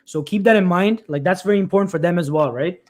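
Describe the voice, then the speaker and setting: A man with a high-pitched voice hosts a weekly career live stream and reads viewers' comments aloud. He talks loosely, restarting and repeating himself. His voice is wearing thin, and he keeps clearing his throat. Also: quick pace, darkish tone.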